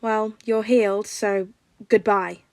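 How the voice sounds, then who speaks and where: Woman as a dry, exasperated villain dad, gravelly edge. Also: medium pitch, steady tone, takes it slowly, darkish tone, no room sound. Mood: curt, dry. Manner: dry, sardonic, sighing. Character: exasperated retired villain mastermind, a doting father.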